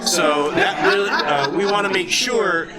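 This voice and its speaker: A man with halting, hesitant delivery, improvising in character as game master of a live tabletop game. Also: high-pitched, animated. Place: large convention hall, before an audience. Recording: live convention hall with loud crowd.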